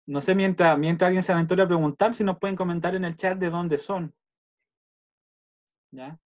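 A man's voice: high voice, steady tone, moderate pace. A man with a Chilean accent casually hosting an online meeting and reading out messages over a video call. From Puerto Montt, Chile. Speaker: host of a virtual university welcome session. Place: indoors at home, on a video call. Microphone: computer video-call microphone. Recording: dull lo-fi video call audio.

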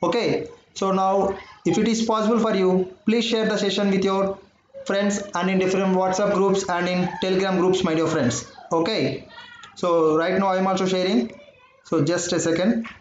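A man's voice: high voice, flat delivery, normal speed. A man with an Indian English accent teaching a live online math class. He repeats phrases and keeps asking whether his listeners understood. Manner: repeats phrases, keeps saying "my dear friends" and "understood or not". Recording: dull, roomy audio with faint noise.

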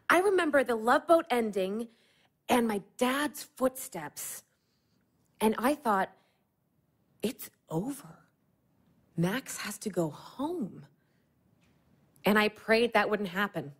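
Radio play: young American woman, wistful, candid, playful; high, very lively pitch. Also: slow, long pauses, husky, exaggerated voice. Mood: wistful, earnest. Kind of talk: naturalistic audio play acting.

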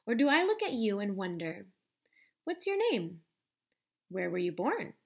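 A young woman reads a picture book aloud as a teacher would for small children. Her pace is slow and deliberate, and her pitch sits in the middle range. She is expressive and speaks straight to the young listener. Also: gravelly.

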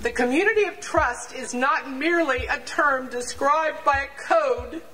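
An older woman reading a prepared statement aloud to a rally on an outdoor lawn, measured and deliberate, sometimes stumbling and restarting over numbers. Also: high-pitched, sharp tone. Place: outdoor campus lawn before a large crowd. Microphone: standing mic on stand, picked up from a distance. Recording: distant outdoor pickup with crowd noise.

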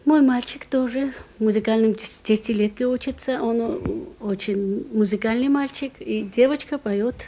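A woman's voice: fairly high pitch, normal speed, hardly any pauses, dark tone, a little hiss or hum, poor audio. A woman in her forties from Georgia, a vocal teacher, chatting about her family at a home dinner table. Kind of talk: casual talk at a dinner table. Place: home dining room.

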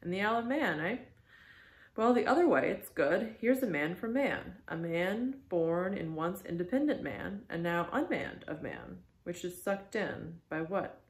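A woman's voice: fairly low pitch, big pitch swings, measured pace. A commanding old whaling captain, acted out by a woman, brooding and half mad, declaiming in archaic speech. The sound is echoey, in a bare room.

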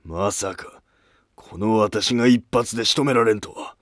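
A man playing a calm, scheming, self-sacrificing court noble in formal archaic speech for a history drama. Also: on the low side, measured pace, a little hiss or hum. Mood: musing.

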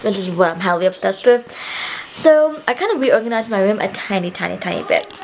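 A woman's voice: mid-range voice, lively intonation, a little fast, creaky, a little mellow, slight background noise, rough recording. A young woman vlogging casually in her bedroom, talking to a handheld camera held close to her face, rambling with lots of fillers and restarts. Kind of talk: casual vlog chat to camera. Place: small bedroom, indoors.

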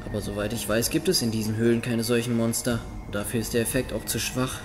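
An earnest young acolyte healer acted by a man in a fantasy audio drama, with a medium-pitched voice. He is a thoughtful companion, heard in long reverb with music clearly behind him. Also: level tone, quick pace, natural voice. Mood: thoughtful, uneasy.

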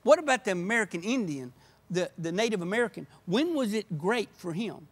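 Older man, high-pitched, passionate and emphatic, guest in a church podcast chat. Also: very animated, a little fast. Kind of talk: earnest conversation. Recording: podcast audio.

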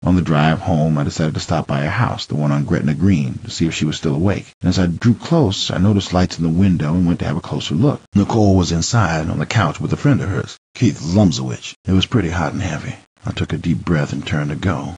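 Deep, husky man narrating dramatically. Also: dry recording, highs cut off. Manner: theatrical, swinging from hushed to heated. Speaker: audiobook narrator. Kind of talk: dramatic first-person memoir narration.

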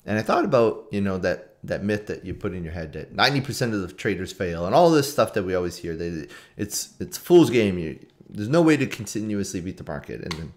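A man's voice: sits low, big pitch swings, a little fast, hardly any pauses. A man in his late thirties with a Canadian accent, telling a personal story casually to camera and repeating phrases for emphasis. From Toronto, Canada. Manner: informal, conversational, with repetition for emphasis. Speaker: trader and trading community video host. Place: small room at home.

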